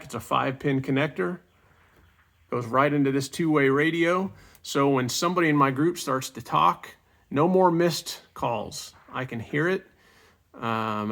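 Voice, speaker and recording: A man's voice: mid-range voice, fairly bright tone. A middle-aged man giving a casual, plain-spoken walkthrough of off-road gear in an informal, enthusiastic way. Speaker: off-road hobbyist reviewing gear. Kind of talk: casual product walkthrough vlog.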